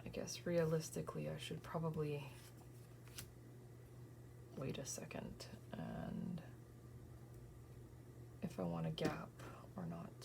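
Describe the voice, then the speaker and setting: A woman in her thirties, tired from an early morning, softly thinking aloud and often whispering as she works on a scrapbook page. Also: low-pitched, fairly even intonation, slow, gravelly, pauses often, poor audio. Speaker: scrapbooking crafter. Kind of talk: casual crafting vlog narration.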